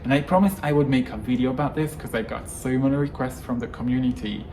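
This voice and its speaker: Hungarian-native guy coaching english, emphatic, mid pitch, bit of faint noise behind. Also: quick pace, darkish tone, poor audio. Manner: emphatic, stresses words in lists. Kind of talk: scripted YouTube lesson monologue.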